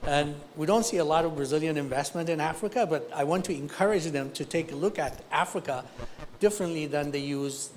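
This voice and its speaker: A man's voice: fairly high pitch, fairly quick, a little hiss or hum. A man originally from Ethiopia, speaking as an investor on a conference panel and explaining his points through concrete, practical examples. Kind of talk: panel discussion answer.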